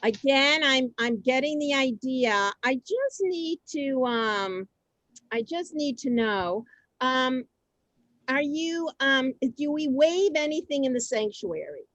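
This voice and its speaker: A woman with a high voice moderates a community show on a video call. She is flustered and halting, repeats herself, and sounds close and dry. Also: expressive, fairly bright tone, no room sound, low-quality recording. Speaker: host of a community reader's theater show. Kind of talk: semi-scripted moderating.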